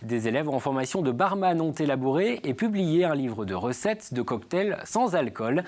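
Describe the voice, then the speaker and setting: Male news anchor, measured delivery, slightly high voice, scripted bulletin. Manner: steady, measured newsreading. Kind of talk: read television news bulletin.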